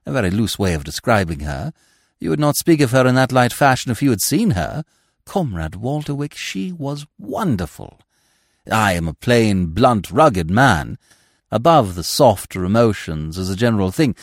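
Man playing a suave, swooping, grandiloquent gentleman. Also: medium pitch, no room sound, clean studio sound. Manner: grandiose, bland, florid phrasing. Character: suave, verbose gentleman, blandly cordial and unflappable. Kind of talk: audiobook character voice.

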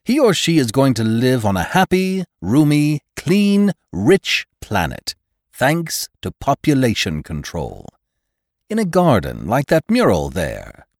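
A man's voice: mid-range voice, huge pitch swings, slow, a little mellow, dry recording, clean studio sound, voice pushed up, heavily acted. A man voicing a pompous, exuberant elderly doctor in a storybook reading, with an emphatic, lecturing, oratorical manner. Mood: rhapsodic. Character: pompous, exuberant elderly male doctor preaching utopian order.

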